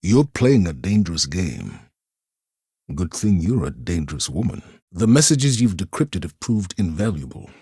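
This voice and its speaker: Stern game commander, weary, low-pitched, husky, grave measured delivery, very dry studio sound. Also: male voice, big pitch swings, warm tone, dry recording, full character voice. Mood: stern, guarded. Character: stern, weary, dutiful male military commander. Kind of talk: dramatic video game voice acting.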